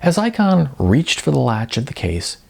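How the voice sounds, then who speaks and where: A man narrating a scripted story as an audiobook reader, with a gravelly, husky voice. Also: mid-range voice, very animated, normal speed, a little mellow.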